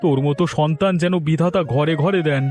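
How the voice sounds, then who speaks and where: Cartoon fisherman dad, male actor: high male pitch, kindly, earnest, patient, soft music bed. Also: fairly quick, lightly acted. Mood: fond, weary. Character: patient, kindly poor village father who fishes. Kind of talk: animated village story dialogue.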